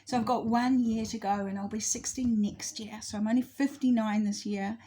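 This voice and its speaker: High-voiced woman in her fifties, rambling. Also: steady tone, normal speed, mellow. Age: 50s. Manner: rambling, loses her thread and corrects herself. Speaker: astrology vlogger. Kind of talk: casual spoken monologue to camera.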